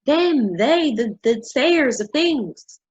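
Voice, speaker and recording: An actress plays a paranoid woman ringleader in a staged reading, grand and condescending. Her voice sits a little high and rough-edged, captured with a muffled sound. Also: expressive, slow, heavily acted. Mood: conspiratorial, grandiose. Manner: condescending, theatrical. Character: haughty, paranoid woman boss of a scheme.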